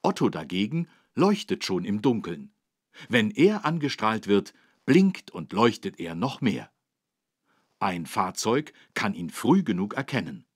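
A man's voice: lowish voice, sing-song, measured pace, slightly rough, no room sound, clean studio sound, full character voice. A man playing a kindly policeman who patiently and emphatically explains things to children in an educational game. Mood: patient, instructive. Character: kindly, careful policeman teaching children road safety.

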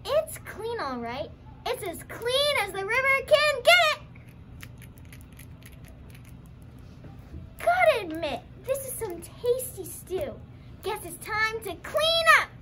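A woman's voice: extremely high pitch, very animated, measured pace, heavily acted. A young female student voicing a puppet character in a scripted comic puppet show. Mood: friendly, sly. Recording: faint background noise.

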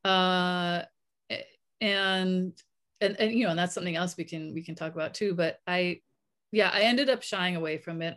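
A woman in her forties speaking on a video call as a panelist author, thoughtful and halting with many fillers. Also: lowish voice, a bit bright. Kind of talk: casual panel discussion. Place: home room, video call. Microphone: webcam on video call.

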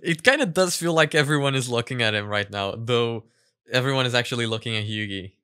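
Bright-voiced young man reacting on camera. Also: medium pitch, smooth, dry recording, studio quality. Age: 20s. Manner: halting, animated, laughs easily. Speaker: host of a reaction video series. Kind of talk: casual reaction commentary. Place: small room at home, indoors. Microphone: close boom-arm studio mic.

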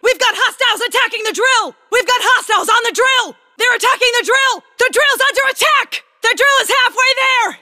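A woman's voice: very high voice, brisk, few pauses, pushed and bright, full character voice. An actress playing a tough female soldier calling out urgent, clipped military warnings in a video game. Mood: urgent shouting. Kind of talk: video game combat barks.

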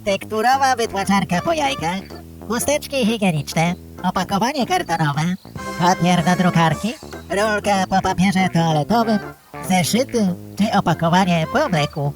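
Brief: actress as female radio quiz announcer, medium pitch, presenterly and emphatic, clear music bed. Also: expressive, moderate pace, close to an everyday voice. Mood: upbeat.

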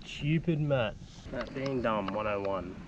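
A man's voice: medium pitch, measured pace, very noisy. A man in his twenties chatting casually in a laid-back way for a travel vlog, mostly outdoors. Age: 20s. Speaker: travel vlogger. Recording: dull lo-fi camera sound.

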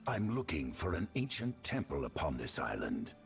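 A resolute warrior hero in a fantasy game. His voice is low, grave and formal, with prominent music playing behind it. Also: sing-song, moderate pace, vocal fry, full character voice. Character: stern, resolute male warrior adventurer on a quest. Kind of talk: video game voice acting.

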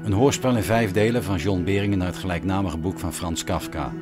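A man's voice: low-pitched, a little fast, loud music behind the voice. A man narrating a radio drama from a script in formal storytelling prose.

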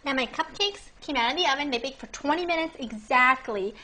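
A young woman hosting a cooking demonstration in her home kitchen, chatting brightly and speaking straight to her viewers. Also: high-pitched, expressive, fairly quick. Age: young adult. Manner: bubbly, chatty, addresses viewers directly. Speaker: cooking show host. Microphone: lapel mic.

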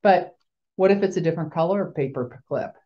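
A woman in her fifties, a chaplain giving a gentle, playful children's chapel talk from a small office over a webcam. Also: fairly low pitch. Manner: gentle, playful, slow and clear like talking to children. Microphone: webcam video call. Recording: slightly dull lo-fi webcam audio.

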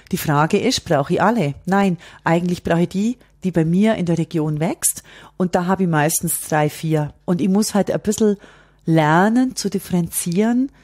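A woman's voice: lowish voice, darkish tone. Middle-aged woman. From Bavaria, Germany. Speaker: podcast guest, herbalist. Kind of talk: conversational interview, explaining from experience.

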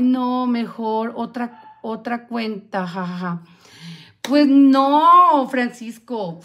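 A woman in her forties chatting casually on a live stream from a small room at home, answering viewer comments between her thoughts. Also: mid-range voice. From Colombia. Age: 40s. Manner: chatty, answers viewer comments as she talks, many fillers. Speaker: vlogger. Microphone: phone camera close up.

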